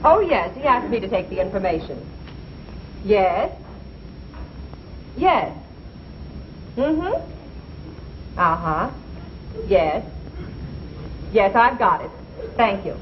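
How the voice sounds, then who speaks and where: An actress playing a polite, efficient receptionist in a 1950s comedy. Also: female voice, medium pitch, sing-song, slow, pauses often. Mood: busy, polite. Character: polite, efficient, unhurried female office receptionist. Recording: dull noisy 1950s broadcast, loud audience.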